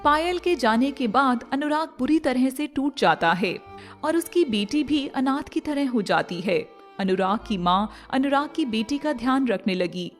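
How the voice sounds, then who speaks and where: A woman reading scripted storybook narration as the narrator of a moral tale. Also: fairly high pitch.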